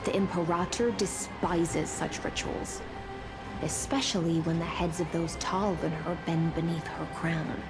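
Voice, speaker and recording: A woman's voice: fairly low pitch, steady tone, measured pace. An actress playing a composed, stern female imperial guide in a video game, formal and controlled in her speech. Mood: stern, instructive. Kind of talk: dramatic video game voice acting. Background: music.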